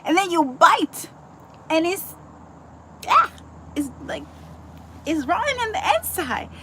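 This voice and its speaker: A woman's voice: extremely high pitch, very animated, slow, heavy background noise. A young woman from the Dominican Republic giving an earnest, casual devotional teaching, full of fillers and restarts. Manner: chatty, full of likes and ums, earnest. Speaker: Bible teacher.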